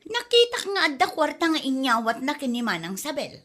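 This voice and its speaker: A woman's voice: sits high, sing-song, a little fast, bright, forceful tone, exaggerated voice. A woman playing a lazy, scheming grown daughter and mother who complains in an Ilocano comedy drama, with a Filipino Ilocano accent. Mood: envious, pleading.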